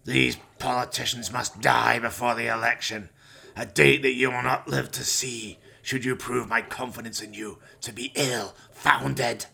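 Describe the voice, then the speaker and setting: A man's voice: mid-range voice, flat delivery, vocal fry, pushed and bright, breathy edge, exaggerated voice. A man voicing a menacing, contemptuous evil master in a comic horror radio play, with haughty, pompous delivery. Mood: angry. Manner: slow, haughty, pompous threats.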